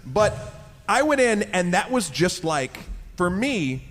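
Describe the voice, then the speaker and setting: A man's voice: high-pitched, big pitch swings, slow, fairly bright tone. A man bantering and discussing casually as a panelist in front of a live audience. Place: large indoor convention panel room. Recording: reverberant convention hall.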